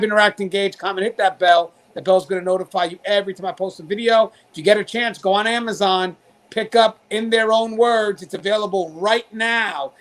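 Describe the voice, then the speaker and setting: A man with a high-pitched voice hosts a talk show. He speaks straight to his viewers in a conversational, emphatic style, urging them along. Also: a bit bright. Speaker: show host, corrections professional.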